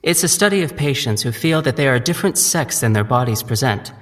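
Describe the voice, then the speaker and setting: A man's voice: mid-range voice, animated, fast, a bit bright, long reverb tail, close to an everyday voice. An actor playing a curious, insistent junior doctor who speaks politely but presses his point.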